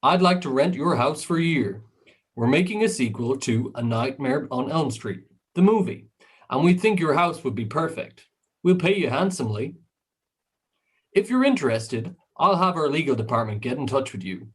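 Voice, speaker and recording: A man in an amateur radio drama playing a smooth-talking, businesslike Hollywood film director. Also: on the high side, animated, normal speed. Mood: smooth, persuasive. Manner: businesslike, persuasive.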